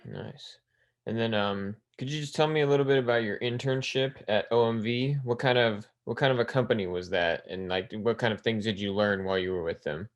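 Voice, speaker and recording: A man hosts a school podcast and puts plain, measured questions to his guest. His voice sits a little low. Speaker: podcast host. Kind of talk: interview questions.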